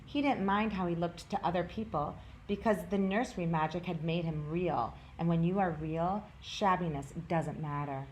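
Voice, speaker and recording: A woman's voice: on the low side, normal speed, hardly any pauses, a little mellow, poor audio. A woman volunteer reading a children's story aloud as narrator. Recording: clear background noise.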